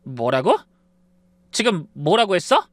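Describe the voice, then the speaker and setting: A man's voice: high voice, sing-song, a little slow, no room sound. A man voicing a spoiled, snobbish adult daughter in a storybook reading, with whiny, accusing outbursts. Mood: shocked.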